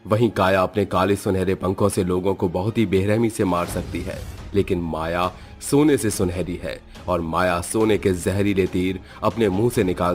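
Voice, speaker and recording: Low-voiced man narrating a horror story.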